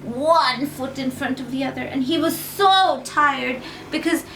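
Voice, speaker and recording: A woman's voice: sits high, a little slow, fairly bright tone, recorded from a distance, a little hiss or hum, low-quality recording. A woman narrating a bedtime story for small children, repeating phrases for effect.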